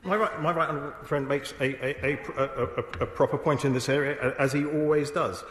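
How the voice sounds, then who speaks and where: A man speaking as a government minister in a parliamentary debate, measured and formal, often stumbling and restarting. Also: fairly high pitch, fairly even intonation, fairly bright tone. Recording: echoey chamber broadcast feed.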